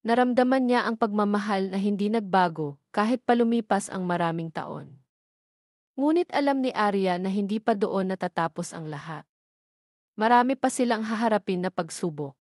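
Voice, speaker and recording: A woman reading scripted dramatic narration of a love story in a measured, sentimental manner. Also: mid-range voice, lively intonation, dry recording, clean studio sound.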